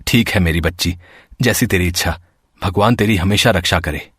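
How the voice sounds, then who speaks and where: A man plays a middle-aged village father in a moral tale. He is anxious and loving, with a gentle manner and a husky voice. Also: deep voice, normal speed. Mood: tender, resigned.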